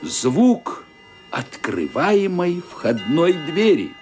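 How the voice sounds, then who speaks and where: A man's voice: on the high side, wildly swooping pitch, drawn-out words. A man narrating a radio play in a dramatic, suspenseful reading style. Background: music underneath.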